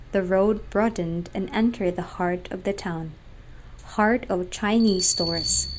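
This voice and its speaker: Slow, mellow young Filipino woman narrator. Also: medium pitch, a little hiss or hum, low-quality recording. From Philippines. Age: young adult. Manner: measured, solemn storytelling. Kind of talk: scripted literary narration.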